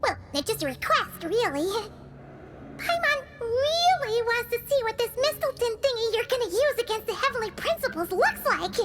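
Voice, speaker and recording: A woman voicing a tiny, childlike, excitable fairy companion in a video game, with a cute, exaggerated, squeaky delivery. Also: normal speed, very noisy. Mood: sheepish, pleading. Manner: cute, exaggerated, refers to self in third person. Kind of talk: cartoonish video game voice acting.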